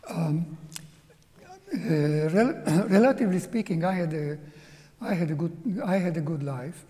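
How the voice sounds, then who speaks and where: An older man in his seventies, a native Hungarian speaker, recounts his past at an unhurried, deliberate pace. His mellow, dark-toned voice halts and reflects as he speaks. The sound carries a long reverberant tail from an auditorium. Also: a little high. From Czechoslovakia. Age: 70s. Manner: slow, halting and reflective. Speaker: interview guest. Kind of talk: personal testimony. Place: museum auditorium.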